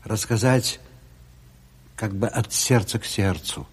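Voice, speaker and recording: A man speaks slowly and earnestly as he introduces a stage play. His voice is husky and oratorical, with emphatic pauses, carried in long hall reverb. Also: lowish voice. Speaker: theatre company presenter introducing a play. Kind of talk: solemn introductory address to an audience.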